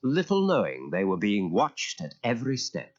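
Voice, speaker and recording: A man giving an animated narration of a children's story as a storyteller. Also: on the low side, moderate pace. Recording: muffled old audio cassette.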